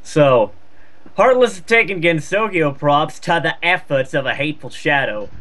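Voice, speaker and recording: A man's voice: on the high side, expressive, normal speed, fairly bright tone. A man co-reading fan fiction aloud in a joking, casual style, exasperated and prone to swearing.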